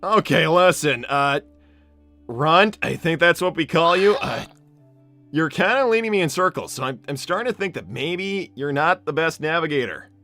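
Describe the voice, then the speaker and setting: A man's voice: fairly high pitch, lively intonation, moderate pace, bright, forceful tone. A man playing a cocky but kindly young hedgehog hero, stammering and rambling casually in improvised game role-play. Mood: exasperated, reluctant. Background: music.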